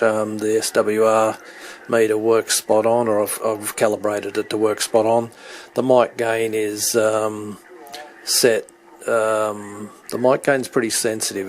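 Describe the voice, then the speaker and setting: A man giving an unscripted hands-on walkthrough of his equipment as a hobbyist, speaking haltingly and thinking aloud. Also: on the low side, a little hiss or hum.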